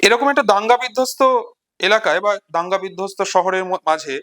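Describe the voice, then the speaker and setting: A man with a fairly high voice presents literature as an informal lecture. He speaks in deliberate, measured phrases, setting out a story piece by piece. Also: animated, a bit bright.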